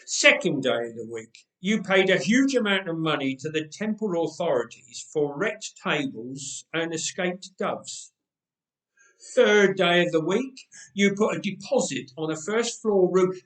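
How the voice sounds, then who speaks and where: A man's voice: high-pitched, animated, a little slow, a bit bright, recorded from a distance. A man voicing a big, blunt thug in a story, grunting out accusations. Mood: accusing. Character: big grunting male thug with huge hands. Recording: roomy, echoing space.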